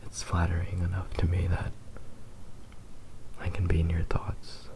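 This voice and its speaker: A man playing a possessive, doting fox-boy husband in an ASMR roleplay, murmuring intimately and haltingly. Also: sits low, monotone, background noise. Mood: adoring, soft.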